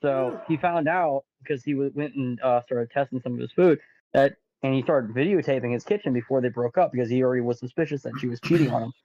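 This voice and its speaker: A man's voice: mid-range voice, fast talker, warm tone, lo-fi sound. A man on a podcast panel telling stories in a blunt, casual, conversational way. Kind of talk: casual chat, telling anecdotes.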